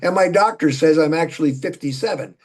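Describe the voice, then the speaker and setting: A husky, breathy man in his late seventies with a Minnesotan accent, his voice sitting a bit high for a man. He talks in a folksy, rambling way, chuckling now and then as he makes his points. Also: a little fast. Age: 70s. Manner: folksy, chatty, laughs while talking. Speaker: political candidate. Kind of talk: casual political conversation.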